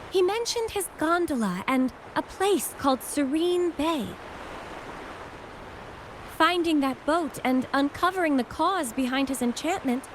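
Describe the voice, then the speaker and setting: A woman voicing an earnest, kindly young healer of a religious order in a video game, speaking gently. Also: high-pitched, slight background noise.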